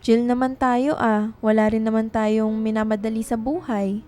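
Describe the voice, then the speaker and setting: An actress playing a tender, anxious young woman writer in a radio drama, soft and earnest in manner. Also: a little high, little pitch movement, moderate pace, faint music behind, natural voice. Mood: affectionate.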